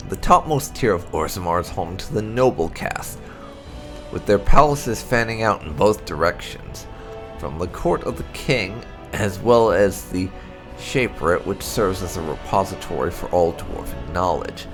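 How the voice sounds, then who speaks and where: A man reading fantasy lore aloud as expressive scripted narration, measured and deliberate with dramatic emphasis. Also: deep voice. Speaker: host of a game playthrough channel reading lore entries aloud. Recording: music behind the voice.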